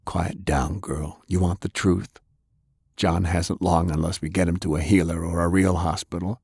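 Man playing a low, weary, gruff fighter. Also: little pitch movement, normal speed, darkish tone, dry recording, studio quality, exaggerated voice. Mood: weary, blunt. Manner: low, subdued, blunt. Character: weary, sad, gruff man hardened by loss.

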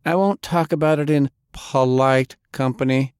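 A man's voice: on the high side, big pitch swings, takes it slowly, dry recording, studio quality, pitched above the performer's own voice. A man voicing a glamorous, prickly woman who turns cold and haughty, read as an audiobook character.

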